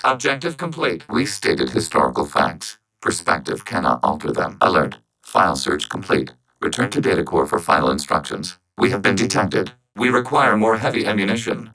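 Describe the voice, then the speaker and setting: An actor playing a calm, logical video game robot that speaks as a collective, with measured, emotionless, formal delivery and synthetic robotic processing. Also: male voice, deep voice, vocal fry, exaggerated voice, poor audio. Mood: clipped alert. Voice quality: synthetic, machine-like.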